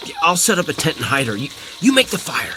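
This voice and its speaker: Man as an excitable, higher-pitched skeptical camper. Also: fast talker, over music. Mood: wary, practical. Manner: stammering, reactive. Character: excitable, skeptical man camping and filming a discovery. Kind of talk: audio drama acting.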